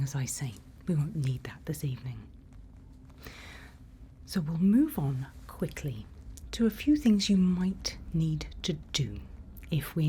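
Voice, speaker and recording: A woman performing a whispered ASMR roleplay as a calm night-watch guide, breathy, instructive and reassuring, close to the microphone. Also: deep voice. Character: calm female night-watch guide in a dark monster-filled forest. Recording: faint background ambient noise.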